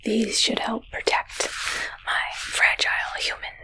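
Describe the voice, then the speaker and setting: A woman voicing a bumbling shapeshifting alien who poses as a human doctor in an ASMR roleplay, whispering in halting, stilted broken English. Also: close to an everyday voice, poor audio. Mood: hesitant, improvising. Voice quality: whispery, breathy.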